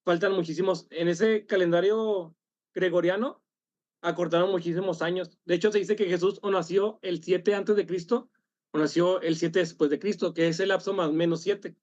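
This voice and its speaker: Guy running a church study call, highish voice, kinda muffled dry sound, stops and restarts a lot. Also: fairly even intonation, a little mellow, dry recording. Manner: halting, self-correcting, apologizes after coughs. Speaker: workshop leader. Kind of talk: online workshop discussion.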